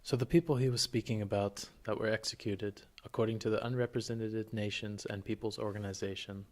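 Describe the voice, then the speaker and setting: A man hosting a podcast, reading scripted background facts in a measured way. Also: on the low side, steady tone, darkish tone. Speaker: podcast host. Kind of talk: scripted narration.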